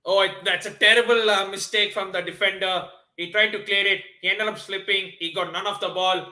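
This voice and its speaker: Male stream host, casual football commentator, high-ish bright tone, echoey room sound. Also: a little fast. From Nepal. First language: not Hindi. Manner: excitable, lots of fillers and restarts. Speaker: livestream watchalong host. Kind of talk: live football commentary and chat with viewers.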